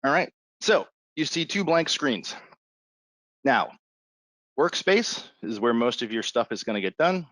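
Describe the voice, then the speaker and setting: A man instructing in an informal, step-by-step way, as in a live software walkthrough. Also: medium pitch, big pitch swings, unhurried, pauses often, no echo.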